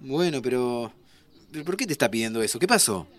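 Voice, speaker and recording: An actor with an Argentine accent playing a playful, affectionate and curious friend in an audio drama, chatty and excitable. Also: male voice, mid-range voice, brisk, dry recording, lightly acted. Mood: gently coaxing. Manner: excitable, chatty, stammering repeats. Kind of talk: naturalistic audio fiction acting. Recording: music under the voice.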